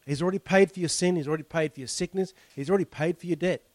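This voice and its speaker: A man's voice: fairly high pitch, rapid-fire, darkish tone, no room sound. A male pastor leading communion, preaching in a fervent, declarative way with lots of repetition.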